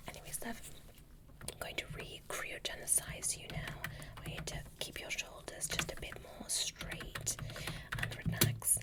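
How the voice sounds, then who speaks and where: A woman voicing a polite, curious alien doctor in a gentle, breathy ASMR whisper during a medical roleplay. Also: lightly acted. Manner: gentle, measured, formal and reassuring. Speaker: ASMR performer.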